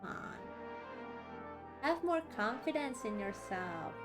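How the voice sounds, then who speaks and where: Slow-paced, playful woman streamer, high voice. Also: sing-song, over music. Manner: rambling, playful. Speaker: video game streamer. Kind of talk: casual live gameplay chat.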